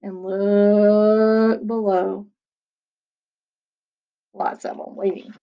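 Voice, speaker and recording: A woman's voice: mid-range voice, very slow, clear, resonant tone. Playful teacher lady in her 40s reading a kids book on webcam, slightly muffled sound. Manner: playful, expressive, chatty asides to children. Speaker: second-grade teacher. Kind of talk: reading a picture book aloud. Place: small attic room at home. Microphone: webcam at desk.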